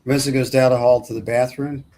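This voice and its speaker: Mid-pitched man reading script narration. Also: steady tone, brisk, mellow. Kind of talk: reading screenplay action lines at a table read.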